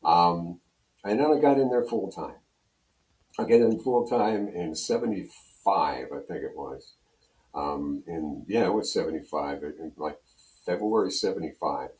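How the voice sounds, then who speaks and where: Older american guy swapping radio stories, rambly and conversational, lowish voice, roomy echo. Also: unhurried, darkish tone. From Pennsylvania, United States. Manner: rambling, conversational, acts out dialogue. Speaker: radio personality, interview guest. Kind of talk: casual anecdotal storytelling. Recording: roomy, echoey sound.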